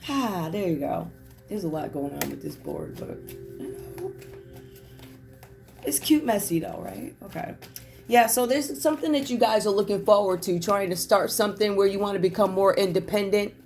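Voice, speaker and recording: A hoarse woman doing a casual tarot reading, chatty and reflective with many fillers. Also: mid-range voice, lively intonation, moderate pace, darkish tone, soft background music. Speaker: tarot reader.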